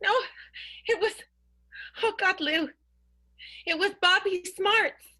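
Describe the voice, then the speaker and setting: An actress playing a frightened, overwrought diva wife in an improvised radio melodrama. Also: female voice, very high voice, takes it slowly, bright, forceful tone, exaggerated voice. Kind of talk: improvised radio play, old-time melodrama.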